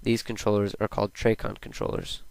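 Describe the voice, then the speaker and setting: A man's voice: on the low side, big pitch swings, brisk, gravelly, darkish tone, a little hiss or hum. A young adult man reading a scripted school presentation as a student presenter, in a plain read-aloud delivery.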